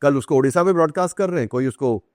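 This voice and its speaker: A man's voice: fairly high pitch, very fast, no room sound, clean studio sound. A man with an Indian accent explaining things as a podcast guest, articulate, repeating phrases for emphasis and mixing in English terms. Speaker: author guest. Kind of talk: podcast interview, explanatory talk.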